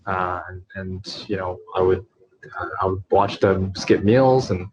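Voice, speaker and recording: A man with a fairly low voice talks from his apartment, stopping and restarting often as he works through his thoughts. The recording is muffled and lo-fi, with a faint hiss of noise behind him. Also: unhurried. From New York. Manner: hesitant, many fillers and restarts. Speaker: nonprofit CEO. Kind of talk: video call interview.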